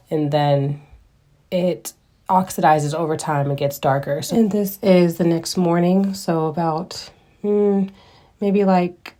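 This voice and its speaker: A young woman with a raspy voice from a cold, narrating her vlog in an easygoing, meandering way and poking fun at her own mistakes. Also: on the low side, dark tone. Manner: easygoing, meandering and self-deprecating. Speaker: vlogger.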